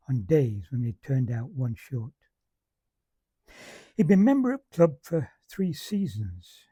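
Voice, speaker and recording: Dark, husky man reciting. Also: a little high, dry recording. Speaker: amateur monologue reciter. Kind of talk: recited comic verse monologue.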